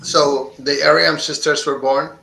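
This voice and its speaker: A male host puts questions to his guests in a loose, stumbling way. His voice is bright and forceful, a little higher than most men's. The room around him gives it a noticeable echo. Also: flat delivery, unhurried, vocal fry, slight background noise. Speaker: interviewer host. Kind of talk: interview questions. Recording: roomy echo.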